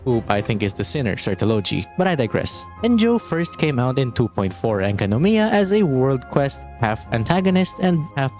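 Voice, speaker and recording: A man's voice: mid-range voice, darkish tone. A man narrating scripted video commentary about game lore. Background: faint music.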